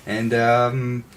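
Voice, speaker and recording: A man chatting casually as a podcast co-host, in a hesitant, halting way. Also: medium pitch, a bit bright. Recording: noticeable background ambience noise.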